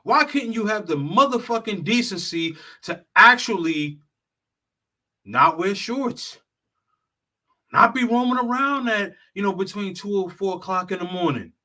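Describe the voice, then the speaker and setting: Brief: high, bright-toned male vlogger, blunt and profane, lecturing the audience. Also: animated, a little slow. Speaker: travel consultant and vlogger. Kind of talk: heated straight-talk monologue.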